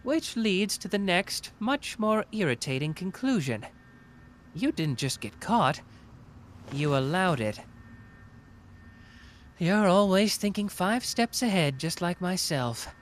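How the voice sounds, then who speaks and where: A man acts out a smug young male detective in an anime audio drama. His voice sits on the high side and swings in pitch as he teases, pausing theatrically with total self-assurance. Background noise and ambience run under the recording. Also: sing-song, heavily acted. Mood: pointed, knowing. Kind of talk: scripted anime roleplay ASMR audio drama.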